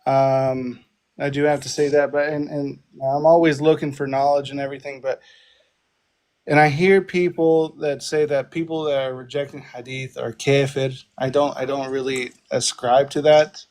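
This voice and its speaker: A man's voice: medium pitch, level tone, moderate pace, a little mellow. A man calling into a live debate stream, speaking politely and hesitantly with many fillers. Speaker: caller. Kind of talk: call-in conversation.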